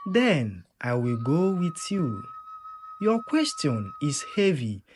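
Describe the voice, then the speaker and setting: A storyteller voicing a loyal, sharp-witted tortoise in a folktale, with a knowing, measured manner. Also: mid-range voice, big pitch swings, faint music behind. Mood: knowing, supportive.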